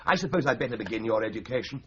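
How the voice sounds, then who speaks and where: Actor as droll English solicitor, swooping delivery. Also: male voice, mid-range voice, lively intonation, rapid-fire, muffled recording, slight background noise, lightly acted. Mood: drily instructive. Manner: droll, mock-pompous, wordy. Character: breezy, flippant, theatrical solicitor in a stuffy London office. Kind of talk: 1980s radio drama acting.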